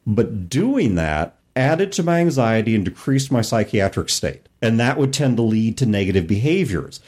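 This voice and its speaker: Lively low-pitched male podcast host. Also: normal speed. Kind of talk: casual discussion of role-playing games.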